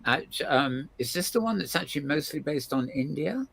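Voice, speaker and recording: A man hosting a casual chat, in relaxed, rambling asides. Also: fairly high pitch, moderate pace, vocal fry, slightly rough. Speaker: host.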